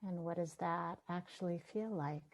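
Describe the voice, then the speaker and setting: A woman leading a guided meditation, speaking gently and leaving long pauses between her phrases. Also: on the low side. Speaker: meditation teacher.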